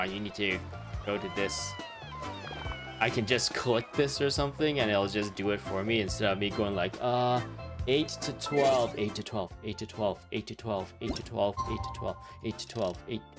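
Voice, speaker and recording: A man giving casual, rambling live commentary as he streams a game, with sarcastic, joking asides. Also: on the low side. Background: game music.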